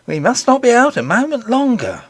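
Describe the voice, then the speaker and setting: A man's voice: very high voice, huge pitch swings, brisk, no echo, pitched above the performer's own voice, heavily acted. A man doing a frightened, scolding nurse. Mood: anxious. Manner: anxious, urgent, scolding. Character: frightened fussing woman nurse.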